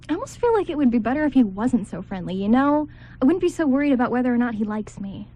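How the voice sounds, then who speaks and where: A woman's voice: a little high, very animated, a little fast, lo-fi sound. A woman playing an awkward, anxious maid of honor in an amateur radio play, hesitant and rambling.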